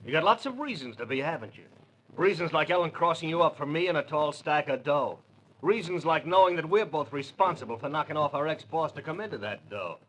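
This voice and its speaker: Hard, forceful, sneering American killer. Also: male voice, fairly high pitch, expressive, a little fast, poor audio. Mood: sneering, menacing. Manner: sarcastic, taunting. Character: sneering, menacing male gangland killer with a gun. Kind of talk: 1940s radio crime drama acting.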